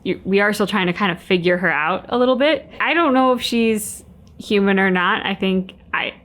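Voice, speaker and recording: Woman chatting on a reaction vid, normal mid pitch, casual. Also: moderate pace, fairly bright tone. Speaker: reaction video co-host. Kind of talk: casual chat.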